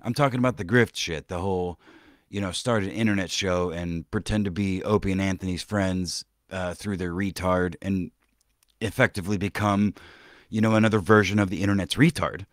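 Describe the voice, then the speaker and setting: A man's voice: low voice, fairly even intonation, fairly quick, no echo, clean studio sound. A man hosting a podcast, rambling and swearing through casual commentary, with many restarts and trailing-off sentences. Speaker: podcast host.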